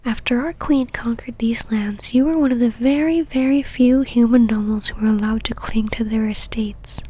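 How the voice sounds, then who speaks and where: Casting: actress for female vampire knight, ASMR roleplay. Breathy whisper, haughty, possessive, teasingly controlling. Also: a little high, warm tone. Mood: stern, condescending. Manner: whispered, slow condescending endearments and commands.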